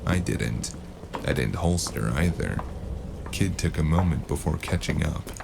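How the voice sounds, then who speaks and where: A man playing a world-weary, wisecracking noir detective with a gravelly voice and a dry, sardonic, laconic delivery in an audio drama. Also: a bass voice, animated, unhurried, full character voice. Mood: brooding narration. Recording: noisy sound bed.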